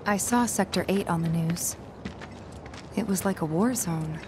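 A woman with an American accent playing a kind, caring young barkeeper in a video game, gentle and a little hesitant. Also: lowish voice, measured pace, noisy background, close to an everyday voice. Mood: concerned, caring. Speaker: game character actor.

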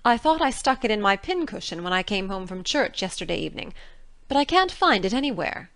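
A woman voicing a strict older female guardian with a sharp, scolding manner, read for an audiobook. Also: medium pitch, very animated, a little fast, dry recording. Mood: stern. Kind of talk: audiobook character reading.

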